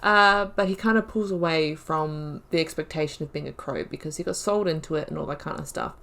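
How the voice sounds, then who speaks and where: A woman's voice: sits low, fairly quick, gravelly, fairly bright tone. Chatty young woman thinking aloud. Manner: chatty, thinks aloud about story choices. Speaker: gaming video creator. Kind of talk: casual let's-play commentary.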